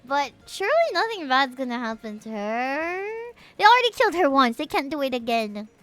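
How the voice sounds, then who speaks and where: Female game streamer. High, forceful voice, expressive and casual delivery. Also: normal speed, faint music behind. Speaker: game livestreamer. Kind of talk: casual stream commentary, reading game dialogue aloud.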